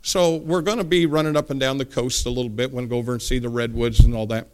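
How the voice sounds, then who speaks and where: Brief: man in his 40s, folksy storyteller preacher, mid-pitched, emphatic. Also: fairly even intonation, fast, a little mellow, clear, resonant tone. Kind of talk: sermon.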